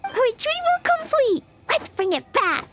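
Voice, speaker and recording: Klee: a tiny excitable girl. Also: very high voice, measured pace, very noisy, heavily acted. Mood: excited. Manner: bubbly, childlike, excitable. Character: cheerful little girl, impulsive and playful. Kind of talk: video game cutscene voice acting. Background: game music.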